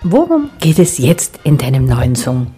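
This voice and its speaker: Animated, mellow, low-pitched Austrian woman hosting radio. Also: measured pace, husky. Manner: playful, colloquial. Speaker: radio host. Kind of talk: lively casual interview. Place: radio broadcast. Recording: music behind the voice. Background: music.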